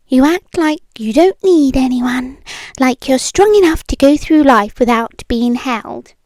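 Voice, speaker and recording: A possessive British yandere ex-girlfriend, acted by a woman in an intimate ASMR roleplay. Her high voice moves extremely slowly and deliberately, often slipping toward a whisper. She sounds eerily calm and condescending, cooing with quiet menace, close and dry on the microphone. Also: no echo, full character voice.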